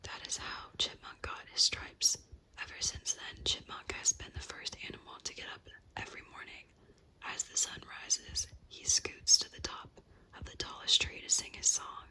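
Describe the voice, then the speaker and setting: A woman narrating an animal bedtime story in a soft, unvoiced whisper. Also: normal speed, rough recording.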